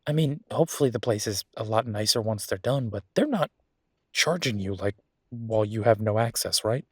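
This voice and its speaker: A man's voice: on the low side, expressive, normal speed, husky, no echo, studio quality. A man playing a friendly, playfully vain werewolf boyfriend in a casual roleplay audio, talking in an easygoing, teasing, conversational way. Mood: sympathetic.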